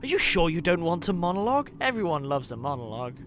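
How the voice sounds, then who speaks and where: Very animated woman playing a tabletop game. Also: lowish voice, fast talker, noisy background. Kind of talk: animated improvised in-character banter.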